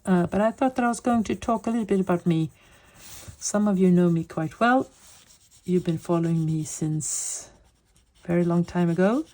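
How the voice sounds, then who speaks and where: A woman's voice: fairly low pitch, normal speed, warm tone. A woman in her late fifties from Sweden, speaking English as her second language, chatting casually and searching for words while she colors. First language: Swedish. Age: 50s. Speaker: coloring vlogger.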